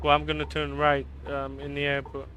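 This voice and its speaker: A man's voice: a little high, completely flat delivery, fairly quick, lo-fi sound, slight background noise. A man who grew up in Canada, chatting casually on a live stream while he drives in a game, reading and answering viewers by name. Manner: chatty, loose, reads and answers chat by name, calls viewers mate.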